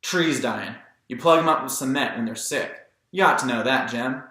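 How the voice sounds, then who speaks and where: A curt man from a storybook, voiced by a male reader, playing the neighbor. He is matter-of-fact and bright in tone, with heavy room echo around him. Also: medium pitch, moderate pace, close to an everyday voice. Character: Mister Nathan, curt Southern neighbor, adult man. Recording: very echoey room.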